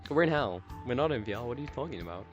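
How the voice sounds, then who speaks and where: A man's voice: medium pitch, lively intonation, rapid-fire, faint music behind. A man hosting and editing a casual podcast, steering the topics and teasing his friends with mumbled asides. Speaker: podcast host and editor.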